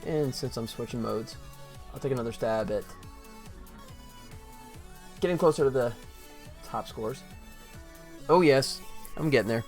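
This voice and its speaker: A man's voice: mid-range voice, a little slow, long pauses, soft background music. A man hosting a game development livestream, commenting informally and thinking aloud as he works. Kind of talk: casual work-along commentary.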